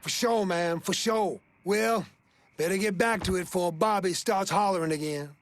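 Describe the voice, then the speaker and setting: A man's voice: sits high, normal speed, soft background music. A man playing an uneasy but easygoing gang henchman who talks in a casual, slangy way.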